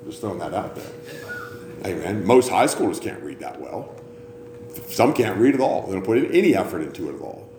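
A man preaches to a congregation with a lively, swooping delivery, slipping into self-mocking jokes and teasing asides between points. His voice rings through a roomy sanctuary over a faint hum of ambience. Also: low-pitched, a little fast. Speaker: pastor. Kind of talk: sermon with joking asides.

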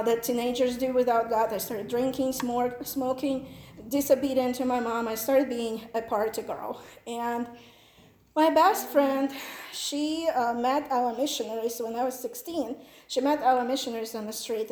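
Ukrainian-accented woman giving church testimony. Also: fairly high pitch. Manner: earnest, animated storytelling. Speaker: missionary's wife. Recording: echoey church hall, faint noise.

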